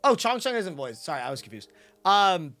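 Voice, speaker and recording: A woman's voice: lowish voice, big pitch swings, fairly quick, fairly bright tone. A young American woman streaming a game, chatting casually and playfully in slang as she reacts to the story and her chat. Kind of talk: casual livestream commentary. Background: game music.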